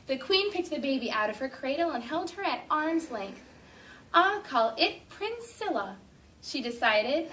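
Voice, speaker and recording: Bright-voiced teacher reading to kids. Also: female voice, on the high side. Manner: expressive, drawn-out storytelling with eager questions. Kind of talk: reading a picture book aloud to children. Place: classroom. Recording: distant classroom camera with faint noise.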